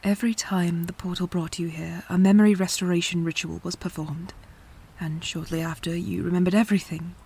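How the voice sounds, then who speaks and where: A woman acting a serious, probing investigator in an audio drama, with a breathy voice and a measured, insistent manner. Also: lowish voice, fairly even intonation, a little mellow. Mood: grave, confessing.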